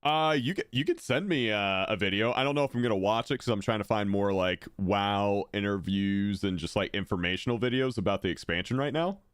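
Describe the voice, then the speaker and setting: A man talks casually over a live stream, excitable and loose, dropping fillers as he comments on what he watches. His voice sits a little low and comes through smooth and resonant. The sound is dry and close. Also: a little fast, hardly any pauses, no room sound. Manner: excitable, chatty, many fillers. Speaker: streamer reacting to videos.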